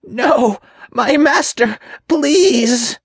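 A man voicing a frightened, servile demon underling with a high, raspy, creaky voice and a timid, halting manner in an audiobook. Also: no room sound, clean studio sound, exaggerated voice. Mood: panicked, pleading. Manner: timid, halting, whimpering, slow. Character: groveling, frightened male impure spirit, servile demon underling. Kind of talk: dramatic audiobook character voice.

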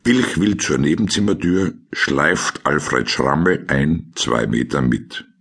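A man reading scripted scene descriptions as a deadpan radio play narrator. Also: extremely low pitch, slow, creaky, husky, exaggerated voice.

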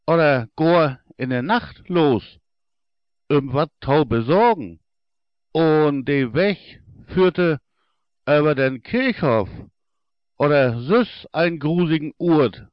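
A man with a Low German accent narrating a fairy tale for children in a deliberate storytelling manner. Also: smooth, no room sound, dull audio. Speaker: audiobook narrator.

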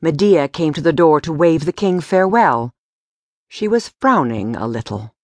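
A woman narrating an audiobook story in a measured, expressive, scripted storytelling style. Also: low voice, no room sound, clean studio sound.

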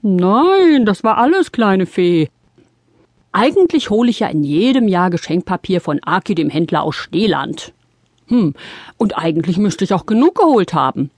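A man's voice: high-pitched, very animated, normal speed, no echo. A man playing a kindly, flustered old Santa Claus in a children's audio play, hesitant and puzzled, drawing out his exclamations. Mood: worried.

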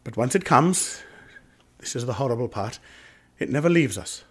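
Radio play: doomed upper-class gentleman, husky, haunted and anguished, with old-fashioned turns of phrase. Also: mid-range voice, expressive, normal speed. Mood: haunted, grave. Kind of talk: radio drama acting.

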